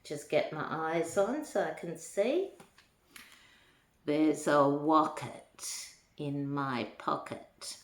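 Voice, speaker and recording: Older woman reading slowly, echoey room. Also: low-pitched. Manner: unhurried, lilting, talking to young children. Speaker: grandmother reading a storybook to children. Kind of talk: read-aloud children's rhyming book with chatty asides.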